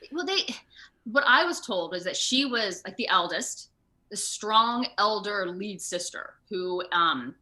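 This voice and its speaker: A woman speaking as a voice actor guest in a casual, chatty interview, expressive and thinking aloud as she goes. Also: medium pitch, unhurried. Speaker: guest, video game voice actor. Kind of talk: casual animated interview chat. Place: remote video call.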